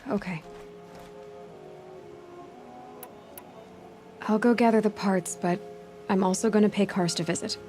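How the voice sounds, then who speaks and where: A woman's voice: medium pitch, fairly even intonation, fairly quick, dark tone, soft background music, close to an everyday voice. A woman playing a headstrong young outcast huntress in a video game, speaking directly with dry, questioning wit. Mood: concerned. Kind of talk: video game cutscene acting.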